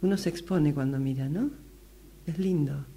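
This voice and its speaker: Very mellow, higher-voiced man. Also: moderate pace. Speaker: radio drama workshop participant. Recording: humming, faintly noisy recording.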